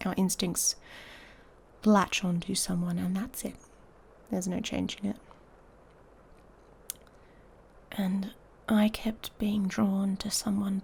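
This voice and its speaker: A woman playing an irritable, sarcastic she-wolf pack heir in an intimate ASMR audio roleplay, soft and breathy, often near a whisper. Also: mid-range voice, exaggerated voice. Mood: grudging, earnest. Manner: sardonic, exasperated, drawling with sighs.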